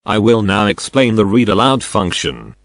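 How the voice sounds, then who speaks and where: An older man who is a non-native English speaker, giving a deliberate, scripted step-by-step product demonstration. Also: lowish voice, animated, dry recording, clean studio sound. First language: not English. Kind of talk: scripted instructional narration.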